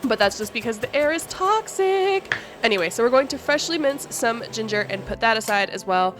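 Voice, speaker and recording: A woman's voice: fairly high pitch, a little fast, music in the background. Chatty woman with a congested voice. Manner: chatty, relaxed, talks while cooking. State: congested from allergies. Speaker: cooking channel host. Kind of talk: casual cooking vlog narration.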